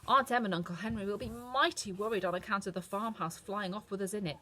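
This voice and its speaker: A woman's voice: mid-range voice, fast, bright, forceful tone. A woman voicing a sweet, earnest young farm girl who speaks in a pleading, homesick way. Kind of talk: storybook reading.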